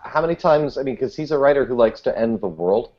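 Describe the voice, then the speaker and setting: A man's voice: medium pitch, expressive, quick pace, dull audio. A man talking animatedly and self-deprecatingly as a guest in a casual panel discussion. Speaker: podcast guest.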